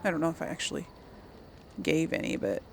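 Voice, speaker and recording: A woman's voice: low-pitched, animated, a little fast, creaky, a little hiss or hum. A woman giving casual, chatty video game commentary, thinking aloud as she plays.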